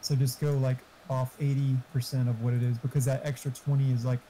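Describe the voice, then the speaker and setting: A man with a very dark, mellow voice chats casually and explains tech in an informal way. He comes over online voice chat, a little muffled, with faint noise and keyboard sounds behind him. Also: medium pitch, little pitch movement, a little fast. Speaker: friend giving streaming tech advice.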